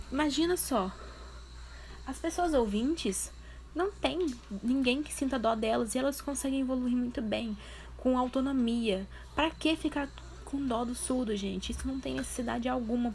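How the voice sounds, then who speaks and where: A woman's voice: high voice, darkish tone, slight background noise. A woman with a Brazilian Portuguese accent, talking straight to her viewers in a casual vlog and pressing her points with repeated questions. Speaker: vlogger voicing her deaf partner's signing.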